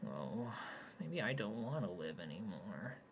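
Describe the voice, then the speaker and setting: A man's voice: on the low side, big pitch swings, unhurried, vocal fry, a little hiss or hum, heavily acted. A man voicing a pathetic, self-pitying young man who whines and hesitates with sighing "oh"s. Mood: whiny. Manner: whiny, sighing, hesitant with many "oh" and "uh".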